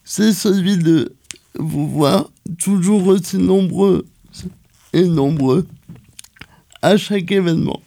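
A man reading a cultural events column on local radio, slow and careful, with some hesitations. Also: sits high, vocal fry, warm tone.

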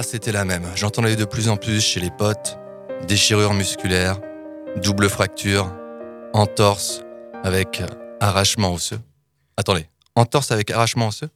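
A man in his thirties reading a comic podcast column, wry and self-mocking, with theatrical touches. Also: lowish voice. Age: 30s.

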